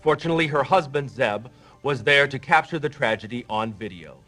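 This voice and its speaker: An actor playing a local TV news anchor, reading a scripted report in a formal, measured way. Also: male voice, mid-range voice, expressive, over loud music, close to an everyday voice. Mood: solemn, formal. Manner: formal, measured newsreader delivery.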